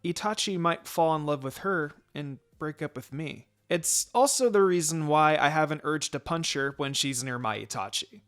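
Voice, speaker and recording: A man's voice: a little high, expressive, moderate pace, hardly any pauses, fairly bright tone. A man voicing a lovestruck, jealous teenage girl in a fan fiction story, with anxious delivery. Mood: jealous, upset. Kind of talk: fan fiction character dialogue.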